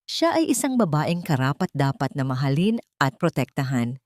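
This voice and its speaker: A woman's voice: deep voice, sing-song, normal speed, a little mellow, no echo, studio quality. A woman narrator reading a scripted, dramatic love story.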